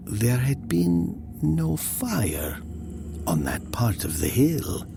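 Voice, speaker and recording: A man narrates a horror tale in a hushed, deliberate way. His creaky voice moves slowly but with lively intonation, often thinning to near whispers over a noisy ambient bed. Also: on the low side. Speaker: story narrator. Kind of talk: dramatic first-person horror narration.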